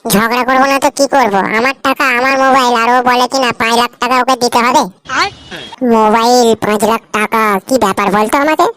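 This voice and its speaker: A woman's voice: fairly high pitch, steady tone, fairly quick, natural voice. A woman voicing a bossy, greedy young male schemer in a comic cartoon, brash and foul-mouthed, with a West Bengal accent. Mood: indignant. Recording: loud music behind the voice. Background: music.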